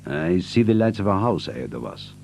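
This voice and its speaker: Dry low-pitched cop in a radio mystery play, no-nonsense, slight background hum. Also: male voice, sing-song, fairly quick, creaky, darkish tone, exaggerated voice. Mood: uneasy. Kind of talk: audio mystery drama acting. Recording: faint noise and hum.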